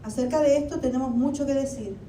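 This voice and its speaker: Woman preacher, high mellow voice, chatty sermon full of questions, roomy hall with the mic set back. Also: quick pace. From Argentina. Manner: conversational preaching with rhetorical questions. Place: church hall. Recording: echoey hall, mic at a distance, faint rumble.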